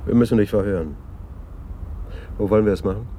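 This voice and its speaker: An actor playing a businesslike police detective in a German radio crime drama. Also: male voice, fairly low pitch, brisk, mellow, slight background noise, lightly acted.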